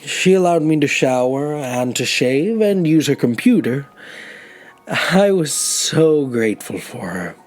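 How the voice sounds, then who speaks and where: An actor playing an older, humble, grateful man who tells his story in halting phrases in an audio drama. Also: on the high side, sing-song. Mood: proud reminiscing. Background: music.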